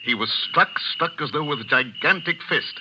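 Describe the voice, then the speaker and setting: An actor playing a stern middle-aged vicar in an old radio drama, commanding, precise and urgent. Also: male voice, a little high, animated, normal speed, close to an everyday voice. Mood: stern, grave. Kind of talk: 1940s radio acting. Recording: noisy, muffled old radio recording.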